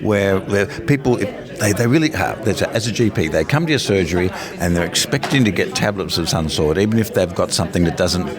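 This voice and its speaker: A man's voice: low-pitched, lively intonation, brisk, over music. An Australian man hosting and interviewing in a casual, chatty, rambling and tongue-in-cheek way. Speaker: podcast host and interviewer.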